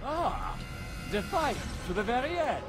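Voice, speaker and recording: A menacing game villain, a knight commander eaten by fear. His voice sits very high for a man and swings widely as he accuses and commands, with loud music behind him. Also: huge pitch swings, unhurried, exaggerated voice. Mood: sardonic menace. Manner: accusatory, theatrical, imperious. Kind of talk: video game villain acting.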